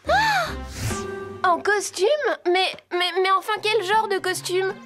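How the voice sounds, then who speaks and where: Cartoon girl, earnest, touchy, extremely high pitch, music underneath. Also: big pitch swings, slow pace, exaggerated voice. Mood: puzzled. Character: earnest, easily indignant girl rider. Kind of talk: French cartoon dub. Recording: music behind.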